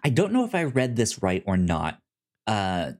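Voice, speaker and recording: A man's voice: fairly low pitch, wildly swooping pitch, moderate pace, a little mellow. A man co-hosting a podcast in casual chat, often stammering and repeating words as he talks. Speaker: podcast co-host. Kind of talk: casual chat about a comic series.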